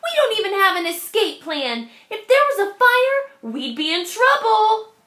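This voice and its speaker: Woman voicing a worried little girl, squeaky and alarmed, storybook read-aloud, echoey room. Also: big pitch swings, a little slow, sharp tone, heavily acted. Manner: high, alarmed and fretful. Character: anxious young schoolgirl, a worrier.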